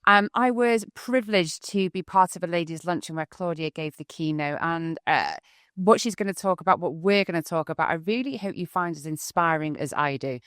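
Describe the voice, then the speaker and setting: A woman's voice: on the low side, fairly quick, a bit bright, smooth, no echo, studio quality. A woman co-hosting a podcast, introducing a guest in an enthusiastic, effusive way. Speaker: podcast co-host. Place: conference venue.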